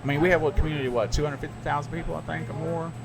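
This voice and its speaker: A man's voice: very fast, creaky, poor audio. Southern man on a podcast, music behind. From American South. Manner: animated storyteller, jumps up in pitch when emphatic. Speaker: radio broadcaster and podcast host. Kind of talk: casual podcast chat.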